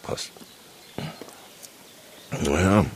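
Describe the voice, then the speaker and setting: An actor playing a dry, unimpressed newspaper crime reporter in a German radio drama, understated and probing. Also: male voice, extremely slow, slight background noise.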